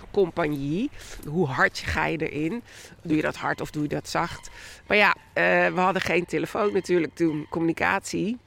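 A woman with a creaky voice, explaining history as an interviewed expert in a halting, conversational way. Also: lowish voice, fairly bright tone, slight background noise. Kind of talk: informal spoken explanation.

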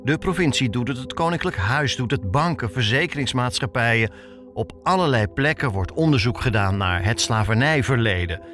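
A man's voice: medium pitch, lively intonation, normal speed, a bit bright, soft background music. A man in his fifties narrating a personal podcast from a script, as its host and reporter. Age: 50s.

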